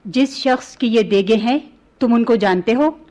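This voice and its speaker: A woman's voice: on the high side, measured pace, warm tone, lightly acted. A woman playing a princess who rules in men's clothing, commanding yet tender, with formal courtly delivery in a radio play. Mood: commanding, stern. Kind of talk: Hindi radio play acting.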